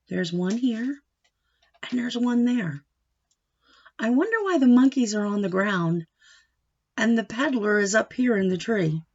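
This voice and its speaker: A woman with a fairly high voice teaches young children through a picture book. She speaks slowly in short, instructive phrases and puts expression into the reading. The recording sounds slightly dull and lo-fi. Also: gravelly, darkish tone. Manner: instructive, asking questions and answering them. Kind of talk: read-aloud lesson for young children.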